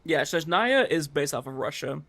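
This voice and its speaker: A man's voice: on the high side, lively intonation, moderate pace, creaky, a bit bright, no echo. A man chatting casually as a livestream host, rambling in informal gamer slang and acting out imagined quotes. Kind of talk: casual chat while streaming a game.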